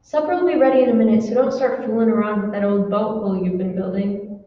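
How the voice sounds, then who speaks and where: A woman's voice: mid-range voice, quick pace, a little hiss or hum, close to an everyday voice. A woman playing a practical, puzzled housewife in an old-time radio drama. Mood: brisk, domestic. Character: practical, puzzled housewife humoring her worried husband. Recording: echoey hall, long reverb, distant, dull.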